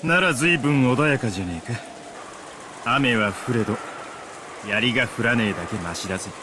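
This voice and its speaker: Rapid-fire, wry tough guy, acted by a man. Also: mid-range voice, animated, background noise, close to an everyday voice. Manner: slangy, blunt, wry. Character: rough, cocky middle-aged man, wry and tough. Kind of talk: Japanese visual novel voice acting.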